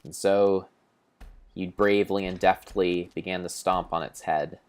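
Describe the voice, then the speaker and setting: A man improvising a mock-epic dramatic narration of a video game, grandiose and theatrical, with pauses and self-corrections. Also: low voice, steady tone, clear, resonant tone.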